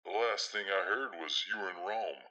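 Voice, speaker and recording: Bossy big brother in an audio drama, deep but really sharp voice, blunt n dramatic. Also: male voice, moderate pace, lo-fi sound. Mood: delighted, teasing. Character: blunt, teasing, protective big brother with theatrical flair.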